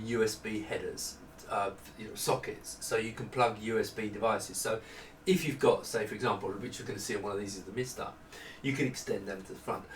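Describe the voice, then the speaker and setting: A man in his fifties giving a casual, unscripted product walkthrough, explaining haltingly as he thinks aloud. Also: fairly low pitch, fairly bright tone. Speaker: maker presenting a product prototype. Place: small home office room. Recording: roomy, distant mic, faint noise.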